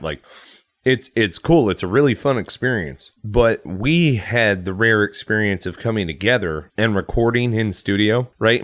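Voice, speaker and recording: A man's voice: fairly low pitch, fairly bright tone, no echo. An American man chatting casually as a podcast co-host, rambling and correcting himself as he thinks aloud. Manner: rambling, hedging, self-correcting. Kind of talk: casual chat.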